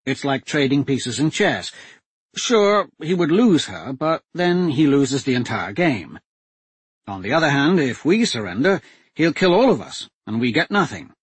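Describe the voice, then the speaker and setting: A calm male swordsman laying out his reasoning step by step, as a man voices him. The tone is lively and a little breathy, recorded close and dry. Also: medium pitch, unhurried, no echo. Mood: explaining. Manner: patient, explaining.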